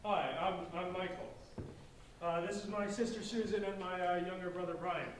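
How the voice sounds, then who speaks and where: A man's voice: high-pitched, steady tone, moderate pace, lightly acted. A man playing a polite, bookish fifteen-year-old outsider with an earnest, wordy manner in a staged play reading. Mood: polite, awkward. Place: large echoey indoor room, distant microphone. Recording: echoey room from far off, faint noise.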